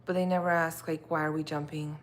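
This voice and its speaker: A woman's voice: low-pitched, little pitch movement, brisk. Chatty woman live-streaming to viewers. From Russia. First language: Russian. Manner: chatty, rambling, many fillers. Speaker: livestream host. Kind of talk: casual livestream chat with viewers. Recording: faint background noise.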